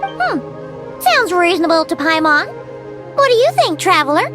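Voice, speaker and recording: A woman voicing a tiny, chirpy, childlike fairy companion with a squeaky voice in an anime video game. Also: big pitch swings, a little slow, over music, exaggerated voice. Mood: puzzled. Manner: cute, refers to self in third person.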